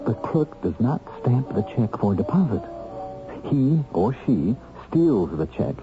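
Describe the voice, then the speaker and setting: A man acting a radio drama role: a bitter, determined bookkeeper freed from prison, earnest and methodical as he talks. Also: fairly low pitch. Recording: muffled old radio recording with music.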